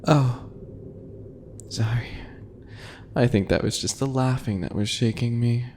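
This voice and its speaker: A man voicing a smug, teasing, obsessive bunny alchemist in an ASMR roleplay, taunting in a mocking, drawn-out, breathy way. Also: mid-range voice, a little mellow, slight background noise, close to an everyday voice. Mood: mock-innocent taunting.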